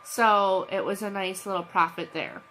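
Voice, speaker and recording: A woman's voice: medium pitch, fairly even intonation, normal speed, pushed and bright, a little hiss or hum, poor audio. A woman with a cold chatting casually to her channel's viewers, scattered and full of ums and restarts. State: has a cold. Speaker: channel host, reseller. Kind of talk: casual vlog chat.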